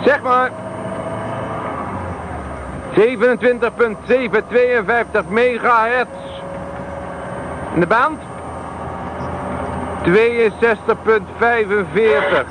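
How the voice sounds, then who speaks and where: Man as grumpy officer hunting pirate stations, high-pitched, hiss on the tape. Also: slow, pauses often. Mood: focused. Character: radio monitoring officer in a car, weary, grumbling. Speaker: radio detection officer. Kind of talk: radio play acting.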